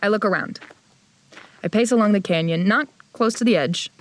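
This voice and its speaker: A woman's voice: medium pitch, normal speed, a little hiss or hum, natural voice, low-quality recording. A woman playing a blunt, impatient young traveler who narrates an audio drama, dry and irritable. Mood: tense, descriptive. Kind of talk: audio fiction narration and acting.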